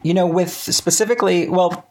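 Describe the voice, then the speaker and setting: An American man talking casually as an interview guest, in a halting, self-correcting way. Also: high voice, fairly even intonation. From Central Florida, United States.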